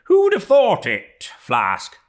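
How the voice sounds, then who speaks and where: A man's voice: high-pitched, wildly swooping pitch, takes it slowly, pitched above the performer's own voice, heavily acted. A man voicing a jolly, boisterous ship's mate in a sea novel, exclaiming and teasing. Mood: amazed, admiring. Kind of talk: classic sea novel read aloud.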